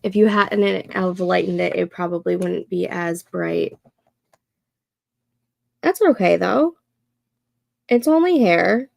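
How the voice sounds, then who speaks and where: A congested woman in her thirties chats unhurriedly while she works on her planner. Her voice has a touch of fry and sounds a little nasal, close and dry on the mic. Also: on the low side, darkish tone, no echo. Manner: slow, chatty, talks to herself while setting up a planner. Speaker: co-working livestream host. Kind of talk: casual chat while working.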